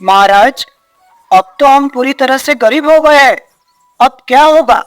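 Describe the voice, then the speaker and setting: Guy doing a fretting king's minister, super high voice, anxious and respectful, fairy tale. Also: unhurried, a bit bright, clear, resonant tone, no room sound, soft background music. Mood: worried. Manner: worried, deferential. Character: anxious, fretful royal minister. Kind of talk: moral story dialogue.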